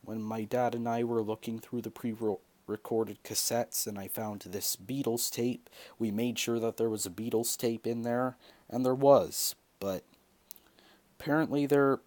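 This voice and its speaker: A man's voice: medium pitch, steady tone, measured pace, a little mellow. A young man talks casually to camera in a hesitant, rambling way, like a hobby vlogger. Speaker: video creator showing collection. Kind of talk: casual vlog talk to camera.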